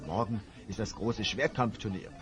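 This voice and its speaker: A man's voice: lowish voice, normal speed, music in the background, natural voice. An actor playing a friendly but worried male innkeeper who confides rumours and warnings in a fantasy audio drama. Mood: grave, confiding.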